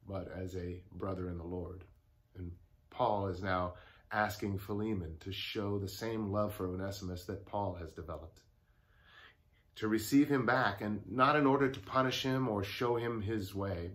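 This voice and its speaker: Older pastor with a low voice. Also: male voice. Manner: earnest, warm pastoral address with pauses. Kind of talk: daily devotional message. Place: home study with bookshelves. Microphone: lapel mic.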